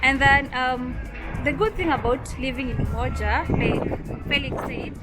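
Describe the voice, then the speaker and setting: High-voiced cheerful Kenyan woman. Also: a little slow. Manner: cheerful and giggly. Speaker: guest. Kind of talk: casual chat. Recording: loud music over the talk.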